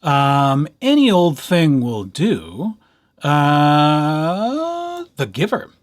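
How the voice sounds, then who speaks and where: A man giving an informal software tutorial as a library instructor, talking conversationally with lightly playful asides. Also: fairly high pitch, huge pitch swings, very slow.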